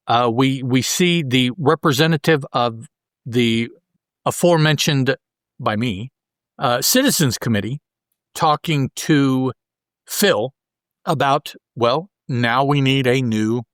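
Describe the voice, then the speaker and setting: A man with a mid-pitched voice hosts a casual discussion. He speaks haltingly, correcting himself and wandering into asides, recorded close and dry. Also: fairly bright tone, no echo. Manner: halting, self-correcting, digressive. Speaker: podcast host. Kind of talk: casual comic-book discussion.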